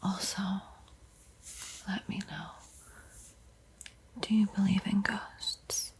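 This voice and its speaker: Whispering woman, breathy and very slow. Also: mid-range voice, steady tone. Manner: soft, unhurried, playfully eerie and teasing. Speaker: art tutorial host. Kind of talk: whispered ASMR painting tutorial with spooky roleplay.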